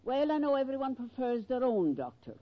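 A woman's voice: sits high, sing-song, a little fast. An actress playing a brassy, motherly older landlady, scolding yet kindly, in a 1940s radio sitcom. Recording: dull 1940s radio recording.

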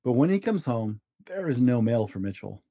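A man hosting a children's show, reading a storybook aloud in simple, expressive language for young listeners. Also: mid-range voice, moderate pace, no room sound, studio quality.

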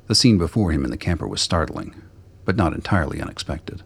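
A man with a very deep, gravelly voice reading scripted dramatic narration for a radio play.

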